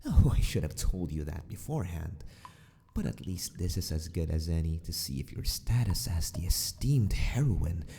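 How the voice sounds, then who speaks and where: A man playing a sadistic, possessive mad scientist in an ASMR roleplay, mock-polite and menacing, often sinking into a breathy whisper. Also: deep voice, animated, moderate pace, mellow, heavily acted.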